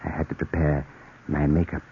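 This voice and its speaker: An actor playing a cunning, deranged murderer, confessing in a theatrical, brooding, repetitive 1940s radio-drama style. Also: male voice, a bass voice, flat delivery, normal speed. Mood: brooding, stealthy. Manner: theatrical, brooding, obsessively repeating himself. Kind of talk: 1940s radio acting, first-person narration. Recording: noisy muffled old radio recording.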